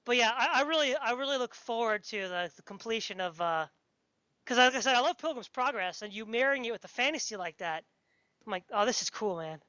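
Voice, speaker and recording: High-voiced interviewer, dry dull recording. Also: female voice, animated, fairly quick, bright, forceful tone, no echo. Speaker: podcast interviewer. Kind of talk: casual interview chat.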